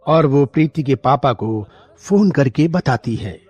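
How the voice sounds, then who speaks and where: A man reads scripted story narration in a mid-pitched voice. The tone is noticeably husky, and the recording is dry and close. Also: expressive, measured pace, a little mellow, no echo, soft background music.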